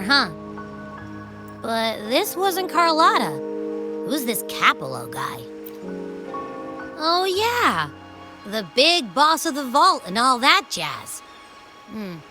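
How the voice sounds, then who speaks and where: A playful young female companion from a fantasy game, fairly high and very animated. She is breezy, casual and chatty. Music plays clearly behind her. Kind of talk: video game dialogue.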